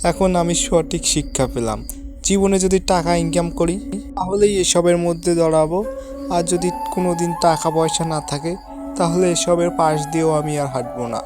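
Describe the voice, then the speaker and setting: A heartbroken young suitor in a sad cartoon, played by a man, with a high male voice. He is earnest and melodramatic, wounded by love, with music playing behind him. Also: normal speed, close to an everyday voice. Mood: bitter resignation. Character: heartbroken, lovesick poor young man pleading with his beloved.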